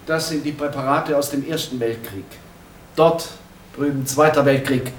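A man's voice: mid-range voice, moderate pace, lightly acted. A man playing a detached, pedantic forensic lab expert explaining his specimens in a radio drama. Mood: proud, detached. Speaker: supporting actor. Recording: roomy echo, faint background noise.

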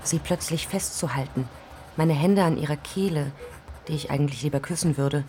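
A low-pitched woman novelist played by an actress shares her diary in a radio play. She is measured and intimate, with obsessive longing and cool menace, over faint background noise. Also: warm tone, close to an everyday voice. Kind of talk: radio drama diary monologue.

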